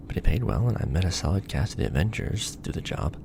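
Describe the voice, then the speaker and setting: A man's voice: sits low, big pitch swings, quick pace, vocal fry, background noise, pitched above the performer's own voice, full character voice. Nervous, rambling young guy played by a man. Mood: wry, cautious. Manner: hesitant, rambling, informal. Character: nervous, joking young male ex-janitor hosting an alien. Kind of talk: roleplay audio drama.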